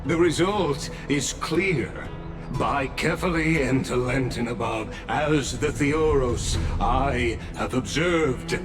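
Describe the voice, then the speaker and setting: Man playing a slow, ceremonious assembly official. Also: medium pitch, music in the background, lightly acted. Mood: composed, ceremonious. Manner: measured, courteous, ceremonious. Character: composed, formal male official presiding over an assembly. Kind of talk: video game voice acting.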